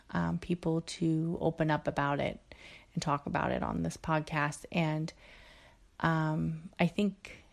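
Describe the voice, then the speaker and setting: Female solo podcast host, low voice with vocal fry, unhurried, profane and earnest. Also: a little mellow. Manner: profane, frequent fillers and restarts. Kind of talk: casual solo podcast monologue.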